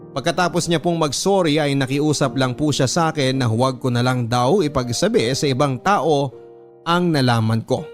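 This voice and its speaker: A man with a Filipino accent reading a letter as a radio drama narrator, in an earnest, measured storytelling manner. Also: medium pitch.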